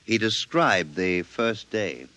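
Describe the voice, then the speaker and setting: An actor playing a formal, probing psychiatrist dictating case notes in a 1950s radio drama. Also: male voice, fairly low pitch, expressive, slow pace, sharp tone, dry recording, exaggerated voice. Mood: businesslike. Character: clinical, businesslike male psychiatrist dictating a case report.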